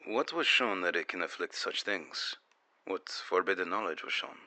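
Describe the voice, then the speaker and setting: A man playing a stern, controlled secret agent in an audio drama, questioning a witness in a quiet, terse, probing way. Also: deep voice, lively intonation, moderate pace, full character voice. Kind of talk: dramatic audio fiction interview.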